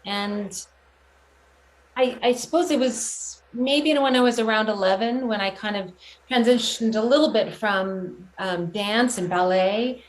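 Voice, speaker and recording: Thoughtful American woman, mid-pitched voice. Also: unhurried, poor audio. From San Francisco, United States. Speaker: guest, performing and teaching artist. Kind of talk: reflective interview answers.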